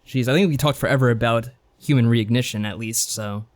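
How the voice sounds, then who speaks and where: A man with an average-pitched voice co-hosts a podcast. He talks in a loose, unscripted, easygoing style and tends to think out loud. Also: a little fast. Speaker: podcast co-host. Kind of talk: casual unscripted podcast chat about games.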